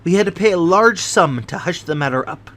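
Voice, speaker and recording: Man voicing a fretful, affected gentleman, prim and precious, slightly high voice. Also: animated, brisk. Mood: anxious. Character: fussy, nervous, affected older gentleman. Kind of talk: audiobook character voice.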